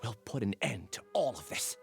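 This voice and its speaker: An earnest young game character, nervous and hesitant. His pitch moves up and down a lot, with soft music behind. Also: sing-song, normal speed, creaky. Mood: resolute. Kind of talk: video game voice acting.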